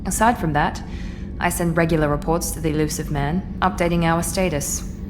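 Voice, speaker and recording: A woman's voice: deep voice, fairly even intonation, moderate pace, over music, natural voice. A woman playing a poised, businesslike, coolly loyal agent in a video game, speaking formally and precisely. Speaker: secret agent and ship's officer. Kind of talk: video game character dialogue.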